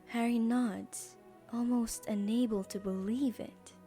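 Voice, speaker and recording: A woman's voice: a little high, steady tone, takes it slowly, mellow, faint music behind. A woman narrating an audio drama in a scripted storybook style.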